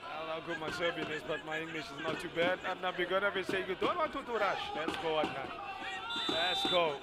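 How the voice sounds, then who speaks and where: A man giving live football commentary, chatty with witty asides, and shouting at the big chances. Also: a little high, quick pace. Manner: chatty, quips and asides between play, rises to shouts at chances. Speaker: match commentator. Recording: loud stadium crowd and noise behind the commentary. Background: loud stadium crowd.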